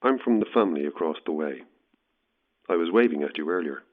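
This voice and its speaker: An actor playing an eerie, matter-of-fact male caller with a husky voice over a telephone filter in a horror audio drama. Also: low voice, animated, fairly quick. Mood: falsely friendly. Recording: narrow, tinny phone line.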